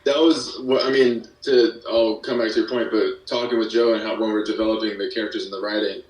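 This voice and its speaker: A man with a fairly low voice talks casually as an interview guest. His phrasing is slangy and enthusiastic, and he sounds set back from the microphone in an echoey room. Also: flat delivery, brisk, a little mellow. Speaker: guest, musician and audio drama creator. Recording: echoey room, distant from mic.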